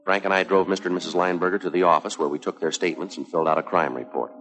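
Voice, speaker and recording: An American man playing a stoic police detective, narrating a 1950s crime drama in a clipped, deadpan, factual way. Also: low-pitched, rapid-fire. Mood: flat, businesslike. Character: stoic, businesslike male police detective sergeant narrating cases. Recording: 1950s TV soundtrack with music behind.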